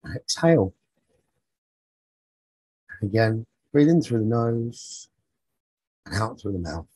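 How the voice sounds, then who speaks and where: A very breathy, husky man leads a guided meditation for sleep at a slow pace. His tone is soft and mellow, with short soothing phrases set apart by long pauses. The sound is close and dry. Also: lowish voice, big pitch swings, no echo, rough recording. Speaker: meditation teacher leading a guided sleep talk-down.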